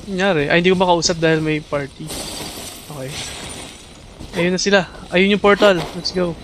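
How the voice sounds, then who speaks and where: A man with a Filipino accent doing casual, excitable game livestream commentary, switching between English and Tagalog. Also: high-pitched, fairly quick, a little hiss or hum. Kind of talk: casual live game commentary, chatting to viewers. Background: video game music.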